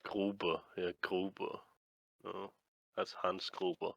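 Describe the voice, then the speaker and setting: A man's voice: sits low, completely flat delivery, slow pace, gravelly, fairly bright tone, dry recording, dull audio. A man chatting casually while playing a game, rambling, swearing often and trailing off mid-sentence. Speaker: let's play co-host. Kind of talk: casual gaming chat.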